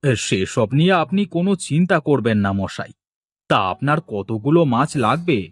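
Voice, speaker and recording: A man's voice: medium pitch, sing-song, moderate pace, husky. A man playing an anxious village fish wholesaler in a cartoon, exclamatory and given to repeating himself. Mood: deferential. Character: anxious village fish-wholesale boss, polite, easily panicked. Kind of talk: cartoon dialogue acting.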